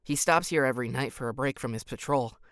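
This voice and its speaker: An actor playing an earnest, guarded, sharp-minded teenage boy in an audio drama. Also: medium pitch, fast, dry recording, clean studio sound, natural voice. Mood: earnest, deducing.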